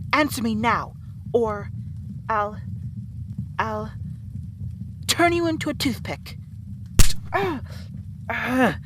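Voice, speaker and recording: A woman's voice: mid-range voice, noisy background. A woman playing a small, defiant rat princess warrior in an audio roleplay, brash and threatening but easily flustered. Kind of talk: dramatic audio roleplay acting. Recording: music behind the voice.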